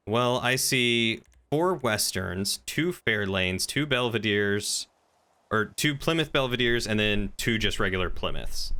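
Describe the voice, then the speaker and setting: A man's voice: fairly low pitch, animated, measured pace, sharp tone. A man chatting casually with someone while playing a game. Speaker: roleplay server crime lead. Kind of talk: casual chat while gaming.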